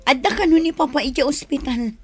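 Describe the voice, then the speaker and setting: An actress playing an anxious, assertive married daughter in an Ilocano radio drama, speaking with emotional, pleading delivery. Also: female voice, high-pitched, a little fast, soft background music, lightly acted. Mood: pleading, indignant. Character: anxious, assertive married daughter worried about her father.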